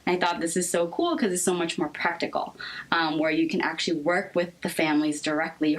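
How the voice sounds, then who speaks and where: A young woman from Seattle, a therapist answering interview questions earnestly, with frequent ums and pauses. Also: low-pitched, rough recording. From Seattle, Washington. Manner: hesitant, many ums, earnest. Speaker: guest therapist.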